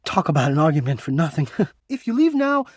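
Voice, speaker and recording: A man voicing an anxious, melodramatic, yearning male game character who hides away, with florid, halting, theatrical speech. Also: a little high, big pitch swings, no echo, studio quality. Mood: resigned, halting. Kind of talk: video game character acting.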